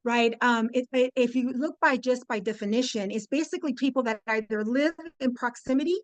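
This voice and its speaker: Upbeat woman in her 50s, spanish is her first language, kinda high voice, chatty webinar talk, dry sound. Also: brisk, dry recording. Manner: upbeat, chatty, lots of fillers and restarts, addresses "you guys". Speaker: guest speaker. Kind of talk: webinar presentation. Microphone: video call.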